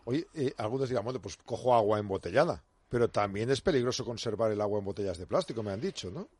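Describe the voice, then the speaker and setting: A man's voice: on the low side, expressive, darkish tone, breathy edge, dry recording. A man hosting a radio show, chatting casually and joking with his guests from the studio. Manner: chatty, joking, quick back-and-forth. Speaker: radio host. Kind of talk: casual radio chat and interviewing.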